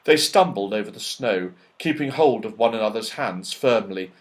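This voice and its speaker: A man's voice: fairly low pitch, animated, normal speed. A man narrating a children's story expressively, with dramatic and emphatic phrasing. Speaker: audiobook narrator.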